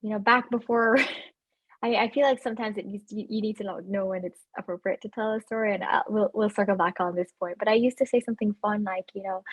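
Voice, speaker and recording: A woman's voice: mid-range voice, slightly rough, dull audio. A woman with a Jamaican accent, a physician in her thirties, giving chatty, upbeat advice straight to camera with many fillers and restarts.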